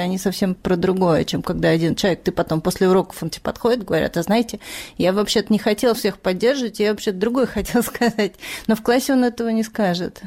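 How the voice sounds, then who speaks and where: A woman's voice: mid-range voice, darkish tone. Candid woman reflecting in conversation. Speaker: interviewed artist.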